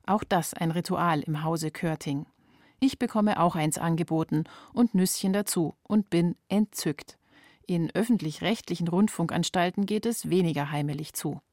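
A woman's voice: fairly low pitch, moderate pace, a little mellow, no room sound, clean studio sound. A woman reading scripted documentary narration as a feature reporter.

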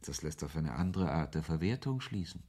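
A man's voice: very animated, fairly quick, mellow, full character voice. An actor playing a brooding, ironic author who narrates his own story in a radio play, with a literary, sardonic manner. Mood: ironic musing. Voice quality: low, husky voice. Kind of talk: first-person radio play narration and dialogue.

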